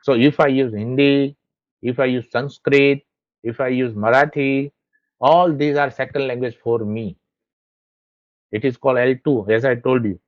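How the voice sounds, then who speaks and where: A man's voice: medium pitch, fairly even intonation, a little slow, no echo. A man with an Indian Gujarati accent, giving a classroom lecture and often checking that the listeners follow. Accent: Indian English, Gujarati. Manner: checks understanding with repeated questions. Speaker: teacher educator. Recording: dull lo-fi, dry sound.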